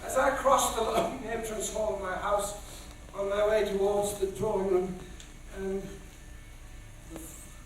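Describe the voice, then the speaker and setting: An actor playing an anxious, haunted older gentleman in a stage drama, stiff, formal, earnest and hesitant in his speech. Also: high-pitched, fairly even intonation, unhurried. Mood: stiff reciting. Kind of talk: theatrical stage acting. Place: theatre stage in live production. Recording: echoey theatre stage, distant mic, faint hiss.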